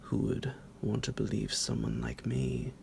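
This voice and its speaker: A man playing a centuries-old psychotic vampire captor in an ASMR roleplay, possessive and mock-tender, speaking theatrically in a breathy, gravelly whisper that drops into a low creak. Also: big pitch swings, mellow, slight background noise, full character voice. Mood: coaxing, amused. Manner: slow, theatrical, menacingly affectionate, chuckling. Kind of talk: ASMR roleplay addressed to the listener.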